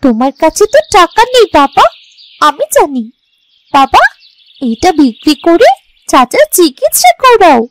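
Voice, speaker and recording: A woman playing a kind, selfless little village girl in a moral cartoon, with a gentle, earnest manner. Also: very high-pitched, big pitch swings, a little slow, pauses often, slight background noise, exaggerated voice. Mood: caring, earnest. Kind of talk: Bengali moral cartoon voice acting. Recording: light music behind the voice.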